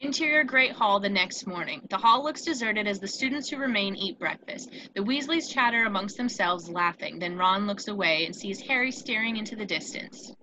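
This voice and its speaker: A woman's voice: mid-range voice, lively intonation, normal speed, hardly any pauses, background noise. A woman narrating a screenplay, reading out scene headings and stage directions.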